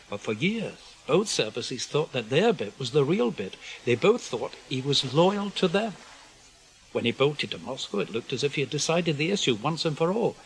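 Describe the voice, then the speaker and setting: An actor playing an older British intelligence officer in a radio drama, guarded and weary, speaking in measured, clipped phrases. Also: male voice, medium pitch, low-quality recording. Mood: weary, reflective. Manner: measured, clipped, explanatory delivery in short phrases. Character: senior male British intelligence officer, guarded, weary, conscience-burdened spymaster.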